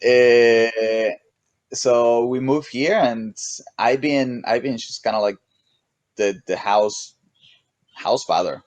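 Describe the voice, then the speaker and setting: A man with a Mexican accent chatting casually as an interview guest, relaxed and rambling, with many restarts and "you know"s. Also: medium pitch, slow pace, no echo, muffled recording. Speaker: guest, pro paddle racer.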